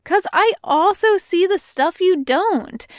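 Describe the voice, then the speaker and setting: A woman's voice: very high voice, animated, slow, no echo, studio quality, voice pushed up, heavily acted. A woman reading fan fiction aloud, voicing a cheerful, blunt young male pirate captain who talks plainly and earnestly. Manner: earnest, plainspoken, warm-hearted.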